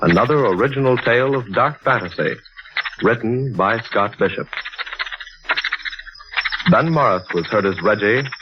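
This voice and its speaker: A man announcing in a formal, measured old-time radio style, his voice declamatory and steady. The broadcast sounds muffled and thin, with a strong hiss running under it, as on a 1940s transcription. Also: medium pitch.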